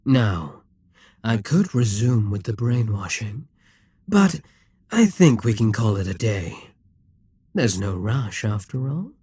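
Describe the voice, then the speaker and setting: A man's voice: lowish voice, sing-song, slow, warm tone, husky, no echo, exaggerated voice. A man voicing a possessive, scheming male demon king in an audio roleplay, teasing and condescending. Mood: smug.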